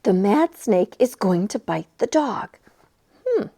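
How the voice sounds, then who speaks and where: Storytime lady for little kids, playful and super animated, high voice, takes her time. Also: slow pace. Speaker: library storytime presenter. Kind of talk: children's storytime, chatty and read-aloud.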